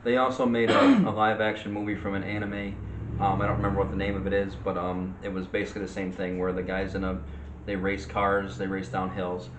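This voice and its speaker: A man co-hosting a casual, unscripted movie chat in a hesitant, halting way. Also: deep voice, little pitch movement. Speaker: video co-host. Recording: echoey room with faint noise.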